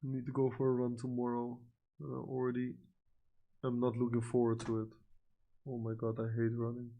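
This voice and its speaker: Male, young adult, Dutch accent, gravelly, mid-pitched. Casual stream talk, filler-heavy, blunt. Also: completely flat delivery, normal speed, narrow, tinny audio. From Netherlands. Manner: frequent fillers like "you know" and "like", blunt and profane. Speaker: livestream trader. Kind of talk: casual livestream chat reading comments.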